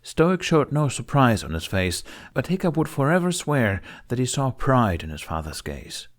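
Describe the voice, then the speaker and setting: A man's voice: mid-range voice, sing-song, normal speed. A man reading scripted fantasy fiction as a dramatic audiobook narrator.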